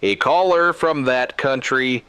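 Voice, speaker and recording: A man playing a grave emergency radio broadcaster in a scripted drama, speaking solemnly and deliberately in short, clipped sentences. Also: mid-range voice, bright, forceful tone, clean tone, no echo. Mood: grave, urgent. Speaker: radio news host.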